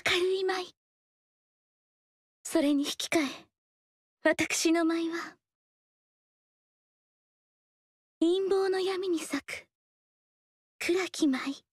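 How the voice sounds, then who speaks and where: A woman voicing a graceful, humble noble dancer in a video game, speaking softly and politely in elegant formal speech. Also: extremely high pitch, no room sound, clean studio sound. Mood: modest. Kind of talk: game character voice lines.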